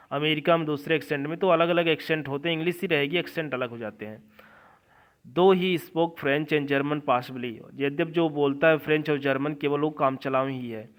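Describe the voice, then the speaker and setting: A man with an Indian accent teaching English literature, reading slowly phrase by phrase and explaining it in a repetitive way. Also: a little high, a bit bright, clean tone.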